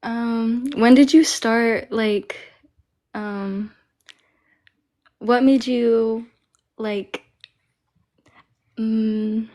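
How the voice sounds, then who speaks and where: A woman's voice: on the high side, steady tone, drawn-out words, pauses often, warm tone. A woman in her twenties being interviewed, hesitant and searching for her words. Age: 20s. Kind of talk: casual chat.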